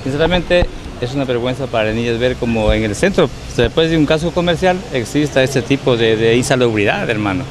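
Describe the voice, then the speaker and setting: A man's voice: medium pitch, expressive, a little fast, hardly any pauses, low-quality recording. A man in his fifties, a local business owner, complaining indignantly in a street interview into a handheld mic, repeating his points. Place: busy street market outdoors. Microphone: handheld reporter mic. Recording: busy street crowd behind.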